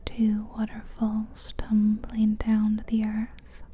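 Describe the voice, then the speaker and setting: A woman whispering a slow, soothing guided visualization as an ASMR host, close to the mic. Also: mid-range voice, monotone, mellow, slight background noise. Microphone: close mic, ear to ear.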